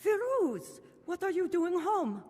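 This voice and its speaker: An actress playing a young wife in a radio drama, talking in an incredulous, questioning way. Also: female voice, very high-pitched, big pitch swings, slow pace, big-room sound, background noise, exaggerated voice. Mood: astonished. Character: young wife, shocked and bewildered. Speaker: wife character.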